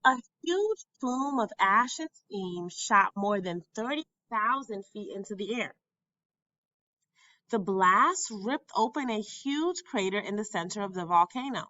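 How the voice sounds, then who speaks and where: A woman reading an educational text aloud as a teacher would, with careful, deliberate enunciation. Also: mid-range voice, expressive, bright, forceful tone, dull audio. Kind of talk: educational read-aloud narration.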